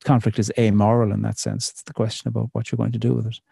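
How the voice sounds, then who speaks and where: Poet, middle-aged male, dark gravelly voice, slow reflective delivery. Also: fairly low pitch, flat delivery, dry recording, studio quality. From Ireland. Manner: thoughtful, measured, many pauses and fillers. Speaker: poet, interview guest. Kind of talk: reflective conversational interview.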